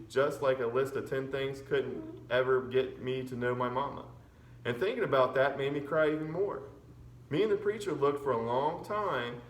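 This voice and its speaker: Male teacher reading aloud in a roomy space. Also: medium pitch. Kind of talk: expressive children's chapter book read-aloud. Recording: roomy echo with background noise.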